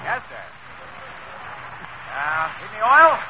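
A man's voice: sits high, sing-song, slow, sharp tone, full character voice. A man playing a polite, eager young filling-station attendant with an American accent in a 1930s radio comedy. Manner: deferential, earnest. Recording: dull, noisy vintage American radio recording.

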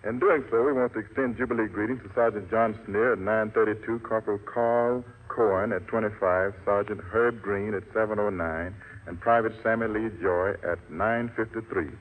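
Low-voiced American man on vintage radio. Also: steady tone, moderate pace, few pauses, slight background noise. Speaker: singing group member, guest on radio show. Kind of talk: 1940s radio guest patter and dedications. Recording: muffled vintage 1940s radio broadcast.

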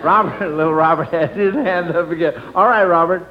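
A man's voice: a little high, a little fast. A man hosting an old-time radio quiz for children, chuckling and patiently prompting the contestants. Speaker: quiz show host. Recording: dull, noisy old radio recording.

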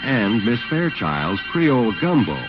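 A man announces and narrates classic radio comedy with mock drama. His mid-pitched delivery rises and falls broadly. Music plays behind the dull, aged recording. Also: big pitch swings, unhurried. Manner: mock-dramatic, polished storytelling. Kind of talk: scripted 1940s-50s radio announcing and comic narration.

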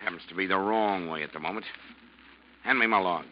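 An actor playing a proud, evasive ship's captain with a curt, defensive manner in an old-time radio drama. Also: male voice, low voice, a little fast, a little hiss or hum, lightly acted. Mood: curt, irritable. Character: proud, evasive ship's captain hiding his failing eyesight. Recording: muffled vintage radio drama recording.

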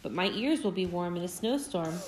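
A woman's voice: on the low side, lively intonation, brisk, a little mellow, roomy sound, close to an everyday voice, low-quality recording. A woman voicing a smug, mocking male barn cat in a read-aloud picture book.

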